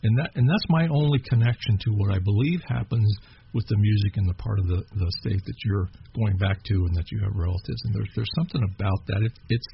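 A man's voice: on the low side, fast talker. A man from Kentucky interviewing a musician in casual chat, rambling with many fillers and restarts. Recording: dull lo-fi with clear noise.

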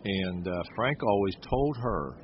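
A man's voice: on the low side, animated, unhurried. A middle-aged man interviewing a musician, asking long, rambling questions full of compliments. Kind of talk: casual interview talk. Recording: dull lo-fi audio with clear noise.

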